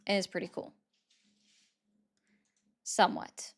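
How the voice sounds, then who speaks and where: A woman hosting a casual political livestream, chatting with viewers and thanking them for gifts. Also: slow.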